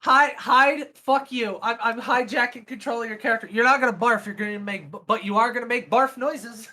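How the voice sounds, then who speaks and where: A woman's voice: fairly high pitch, brisk, pushed and bright. A giggly, often swearing woman casually narrating an improvised tabletop game as its game master.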